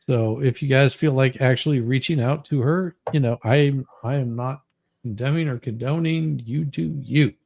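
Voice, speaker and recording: Guy cohost, average pitch, casual jokey commentary and reading stuff out, sorta hesitant. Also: moderate pace, vocal fry, a little mellow. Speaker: co-host.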